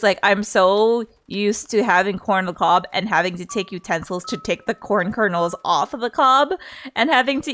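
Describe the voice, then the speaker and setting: A woman's voice: fairly high pitch, fairly bright tone. A woman livestreamer chatting casually in a playful, giggly, rambling way. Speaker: streamer.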